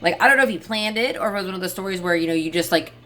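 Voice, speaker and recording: Bright-voiced woman reading and chatting over music. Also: medium pitch, racing. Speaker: storyteller reading a fairy tale and commenting on it. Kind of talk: storybook narration with casual chatty commentary. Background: soft ambient background music.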